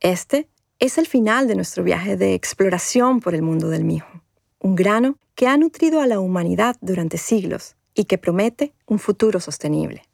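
A woman reads the narration of an educational podcast in a measured, formal way. Her mid-range voice has a gravelly edge. The studio recording is dry, with no room sound. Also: medium pitch, animated, darkish tone, no room sound. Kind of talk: scripted documentary narration.

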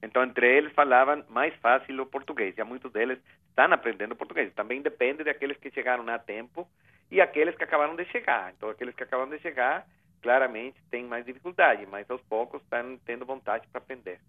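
A man speaks over a tinny telephone line in a radio interview. His voice sits at an ordinary pitch, and he talks earnestly, with frequent "eh" fillers and restarts. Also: quick pace. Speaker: interviewee, refugee agency representative. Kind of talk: phone interview.

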